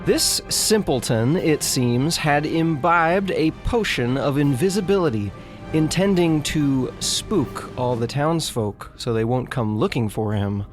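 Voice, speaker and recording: A man's voice: on the high side, animated, measured pace. Man playing a pompous Imperial, music behind. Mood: contemptuous. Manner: haughty, florid, scornful. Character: pompous, condescending Imperial man sneering at provincial peasants. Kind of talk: theatrical scripted recap narration.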